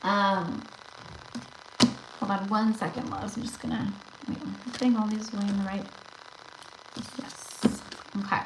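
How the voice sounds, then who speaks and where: A woman hosting a casual tarot livestream, chatty and rambling, talking straight to her viewers, with her voice going slightly raspy. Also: mid-range voice, flat delivery, a little slow, long pauses, background noise.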